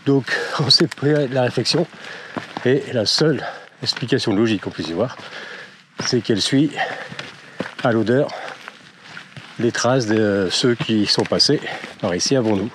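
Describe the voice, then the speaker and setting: A man's voice: medium pitch, unhurried, pauses often, noisy background. A chatty man with a slight cold. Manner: chatty and rambling, with many fillers and wry jokes. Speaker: vlogger walking with his dog. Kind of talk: casual walking vlog narration. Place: outdoors on forest trails.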